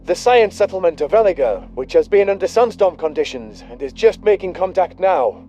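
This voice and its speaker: Man putting on a bright, high alien news anchor. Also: lively intonation, normal speed. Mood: brisk, excited. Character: male alien TV news anchor, polished and suspenseful. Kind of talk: broadcast news announcing. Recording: dull broadcast sound, music bed.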